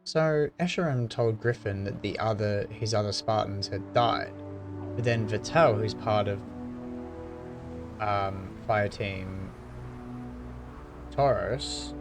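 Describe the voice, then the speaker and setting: Male gaming commentator, lowish voice, casual and hesitant, clear music bed behind. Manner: hesitant, thinking aloud. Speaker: gaming video creator. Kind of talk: casual commentary, piecing story together. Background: game music.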